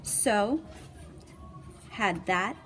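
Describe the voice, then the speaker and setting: Female read-aloud storyteller: playful and dramatic, unhurried pacing, big swings in pitch, for young children. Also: expressive, slight background noise. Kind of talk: expressive children's picture book reading with asides.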